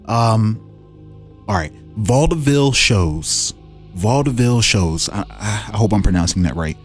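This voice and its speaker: Man, low voice, casual host, reading fantasy lore aloud, musing asides, music in the background. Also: darkish tone. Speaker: host presenting fan-made game lore.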